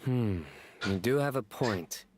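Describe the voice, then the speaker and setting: A man voicing a calm, courteous old-timer guardian in a video game, polite and measured. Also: mid-range voice, background noise.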